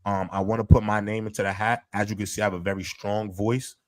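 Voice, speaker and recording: A man's voice: on the low side, flat delivery, fast talker, no echo, studio quality. A man co-hosting a podcast, talking casually and emphatically with slang and lots of "um". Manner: emphatic, slangy, filler-heavy. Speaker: podcast co-host. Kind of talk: casual chat.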